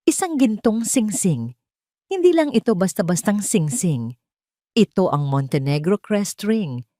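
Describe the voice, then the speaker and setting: A Filipino woman narrates a dramatic scripted story. Her voice sits a little low, and her delivery is solemn and measured. The sound is close and dry, with no room echo. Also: darkish tone.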